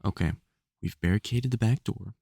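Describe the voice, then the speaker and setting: An actor playing a gruff, protective vampire hunter who swears and stammers under worry in an audio roleplay. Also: mid-range voice, normal speed, warm tone, dry recording, clean studio sound. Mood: protective, instructing. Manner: sweary, urgent, stammering when worried. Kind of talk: audio roleplay drama spoken to the listener.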